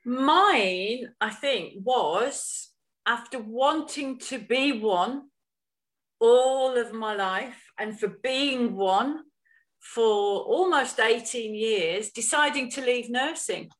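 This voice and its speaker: A woman co-hosts a casual podcast and takes her time over each thought. She talks slowly and in a reflective way. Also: on the high side, expressive. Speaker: podcast co-host. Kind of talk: casual live podcast chat.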